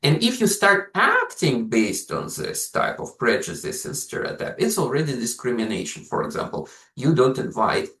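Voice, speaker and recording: A Russian-accented man lectures on psychology with a lively, rising-and-falling delivery. He explains things step by step, often pausing on "so" and "uh". The recording carries a noticeable roomy echo. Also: mid-range voice. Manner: explanatory, uses frequent "so", "uh" and "like". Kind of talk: university lecture.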